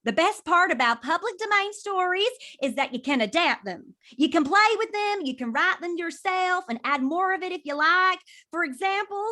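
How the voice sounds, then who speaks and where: A woman's voice: very high voice, lively intonation, moderate pace, hardly any pauses, pushed and bright, full character voice. A woman voicing a plucky, theatrical firefly pirate leader in an animation table read, grand and eager.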